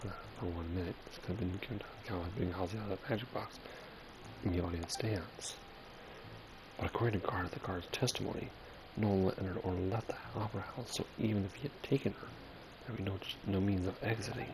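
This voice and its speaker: Casual male let's-player: deep pitch, muttered reasoning and reading aloud over game sound. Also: fast. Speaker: gamer recording a playthrough. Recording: clear game noise behind voice.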